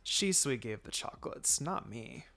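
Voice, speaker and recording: A man voicing a young female ninja in a story reading, firm and sardonic but secretly soft-hearted. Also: mid-range voice, animated, measured pace. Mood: exasperated.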